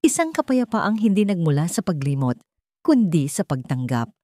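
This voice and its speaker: A woman narrating a dramatic scripted story in an emotive, measured storytelling manner. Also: fairly low pitch, sing-song, warm tone, dry recording.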